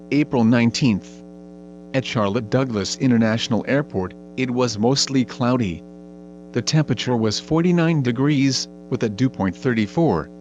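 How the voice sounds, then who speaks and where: A synthetic man's voice reads an automated weather bulletin as a radio announcer, in a formal, measured, impersonal way. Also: medium pitch. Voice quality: synthetic text-to-speech voice. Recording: dull lo-fi radio sound with mains hum.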